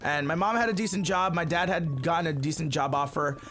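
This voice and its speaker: A man with a somewhat high voice, originally from the Philippines, chats loosely to his viewers while he plays. His talk wanders, full of fillers and restarts, and he keeps calling the audience "you guys.". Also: fairly quick, a bit bright, faint music behind. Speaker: gaming channel host. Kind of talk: casual storytelling chat while playing a game. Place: at home, family cleaning in the house.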